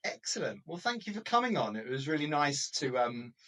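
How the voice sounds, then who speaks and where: A man's voice: fairly high pitch, big pitch swings, a little fast, bright, forceful tone. A man hosting a podcast, asking questions in an upbeat, encouraging way. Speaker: podcast host. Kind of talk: casual interview talk. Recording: voice a bit distant from the mic.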